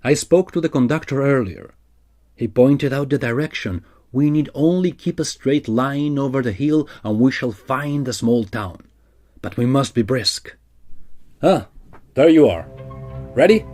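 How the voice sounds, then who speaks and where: A protective Victorian gentleman in an audio drama, with music beneath him. He speaks earnestly and formally in a medium-pitched, assured voice. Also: animated, unhurried, long pauses. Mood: resolute. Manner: formal, earnest, well-spoken. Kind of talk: period audio drama acting. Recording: music behind the voice.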